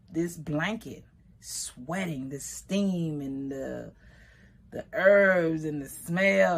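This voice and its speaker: A middle-aged woman from Liberia telling stories about her grandmother in a relaxed, conversational way. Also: low voice. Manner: relaxed, conversational, shifting pace, Liberian English phrasing. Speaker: vegan food business owner. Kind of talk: personal storytelling.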